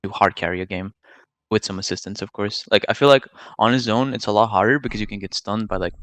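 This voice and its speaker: A man's voice: on the low side, quick pace, fairly bright tone, dry recording. A nineteen-year-old man from Serbia, chatting casually as an interviewed gamer, slangy and opinionated as he explains at length. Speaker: gamer and streamer being interviewed.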